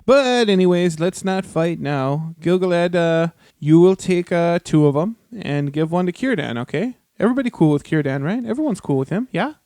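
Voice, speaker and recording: A man's voice: sits high, expressive, moderate pace, hardly any pauses, dark tone, no room sound, studio quality. A man playing a folksy, apologetic elf host with an exaggerated Minnesotan accent in a comedy radio drama. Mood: sheepish, placating. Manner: folksy, full of hesitant friendly tag words.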